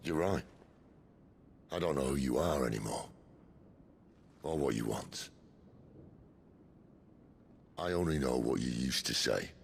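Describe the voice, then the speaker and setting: A man playing a weary, defiant rebel in a video game, a gravelly voice and a dry, sardonic manner. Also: a bass voice, lively intonation, slow pace, pauses often, slight background noise, full character voice. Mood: earnest, challenging. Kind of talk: dramatic video game acting.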